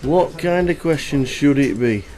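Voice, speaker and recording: A man's voice: on the high side, animated, measured pace, darkish tone. A man chatting casually as a live poker commentator, playful and repetitive. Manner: chatty, playful, repeats himself.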